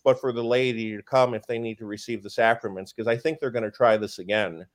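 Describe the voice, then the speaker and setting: Booming-voiced priest, emphatic and indignant. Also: male voice, fairly even intonation, fast talker. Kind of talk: casual conversation on a live stream.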